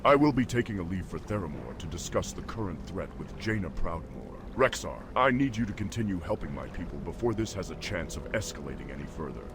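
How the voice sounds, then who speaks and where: A man playing a noble, commanding orc war chief in a fantasy game, speaking in a measured, formal way. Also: sits low, noisy background. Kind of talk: video game character dialogue.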